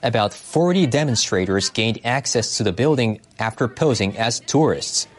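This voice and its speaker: A man's voice: mid-range voice, lively intonation, normal speed. A man delivering scripted news narration as a reporter.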